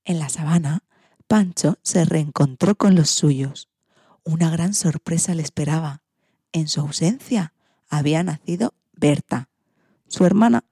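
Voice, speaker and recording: A woman storyteller of narrates for children. Her voice is husky and dark, fairly low, recorded close and dry. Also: measured pace, dry recording. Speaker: storyteller and presenter.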